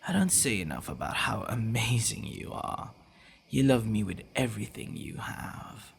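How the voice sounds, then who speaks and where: A man playing a possessive, teasing, devoted vampire boyfriend in an intimate ASMR roleplay, speaking in a hushed, breathy way with hesitant pauses. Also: on the low side, big pitch swings. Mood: adoring.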